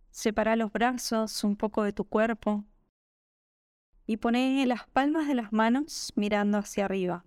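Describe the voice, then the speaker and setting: A woman with a Rioplatense Spanish accent narrating a guided meditation in a gentle, calming, unhurried manner. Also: on the high side, clear, resonant tone, no room sound, clean studio sound. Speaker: yoga nidra meditation guide.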